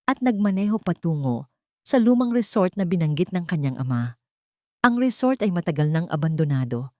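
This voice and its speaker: A woman's voice: lowish voice, darkish tone, dry recording, clean studio sound. A woman narrator reading a scripted dramatic story for an audiobook.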